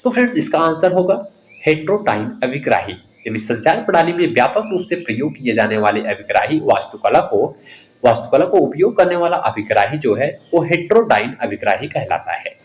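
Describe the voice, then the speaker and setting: A man's voice: medium pitch, sing-song, fairly quick, slight background noise, poor audio. A man giving an instructive exam coaching lesson, reading out questions and explaining the answers directly to students.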